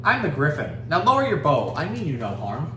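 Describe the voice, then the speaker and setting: A man's voice: fairly high pitch, wildly swooping pitch, fairly quick, over music. A man reading a picture book as a polite, helpful and harmless male griffin with a mildly indignant manner. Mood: indignant, calming. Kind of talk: picture-book read-aloud. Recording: roomy, distant mic, background noise.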